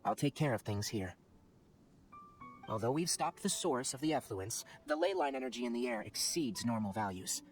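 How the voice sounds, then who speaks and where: Man acting a young ranger scholar, exacting, matter-of-fact, testy, animated mid-pitched voice, game dialogue, background music. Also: moderate pace, close to an everyday voice. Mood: serious, composed. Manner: crisp, lecturing, matter-of-fact.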